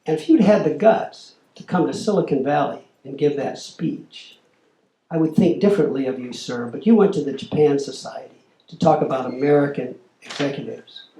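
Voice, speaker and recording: A man's voice: on the high side, normal speed, mellow, echoey room, lightly acted, low-quality recording. A man acting out a blunt, angry male tech executive who confronts an official. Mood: scolding. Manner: confrontational, scolding.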